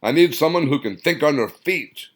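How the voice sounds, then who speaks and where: A gruff army commander barks orders in a loud, forceful voice, as a man reading a story puts him on. He is a hard, blunt officer who expects to be obeyed. The voice swings widely in pitch. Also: expressive, moderate pace. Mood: barking. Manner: shouting orders.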